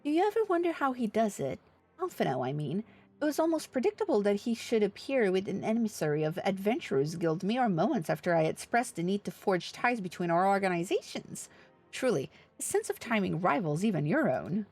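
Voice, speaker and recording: A woman's voice: medium pitch, big pitch swings, a little fast, a little mellow, faint music behind. A woman reading aloud the lines of an earnest, formal leader of a fantasy order in a video game. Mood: wry, formal. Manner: formal, solemn, oratorical.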